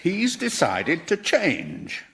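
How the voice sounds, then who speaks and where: A mild, kindly professor in a radio comedy. He is earnest and hesitant, slightly high and extremely swooping in pitch, with noise behind him. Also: wildly swooping pitch, extremely slow, gravelly, heavily acted. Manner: hesitant, earnest, politely upbeat. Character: mild, positive, kindly male professor stuck in Hell. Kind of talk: studio radio comedy acting.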